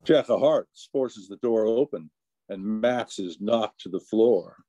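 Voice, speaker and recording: A man's voice: on the low side, slow pace, dark tone. A man playing the narrator of an old-time private eye radio serial, reading scripted narration. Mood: composed. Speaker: announcer and narrator.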